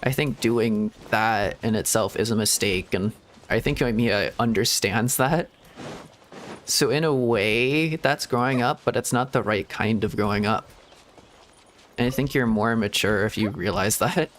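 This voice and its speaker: A man streaming a video game, thinking aloud in a rambling, reflective way with hedges and soft laughs. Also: on the low side, a little slow, a little hiss or hum. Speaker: VTuber streamer. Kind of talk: casual gameplay commentary.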